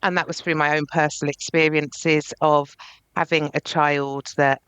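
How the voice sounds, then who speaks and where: A woman's voice: low-pitched, level tone, moderate pace, fairly bright tone, clear, resonant tone, dry recording. A woman therapist speaking earnestly and reflectively as a podcast guest. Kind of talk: podcast interview.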